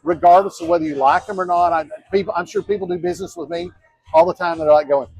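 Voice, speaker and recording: A man's voice: sits high, animated, quick pace, creaky, a little mellow, slightly rough, no room sound, rough recording. A man, talking earnestly in a casual interview, rambling and restarting as he goes. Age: 50s. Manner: earnest, rambling with frequent restarts and quoted asides. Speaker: mayoral candidate.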